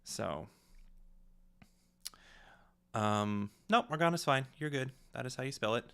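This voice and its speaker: A man giving casual, rambling live commentary as a director and stream host, in a halting, reflective way. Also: medium pitch, lively intonation, vocal fry, pushed and bright, clear, resonant tone.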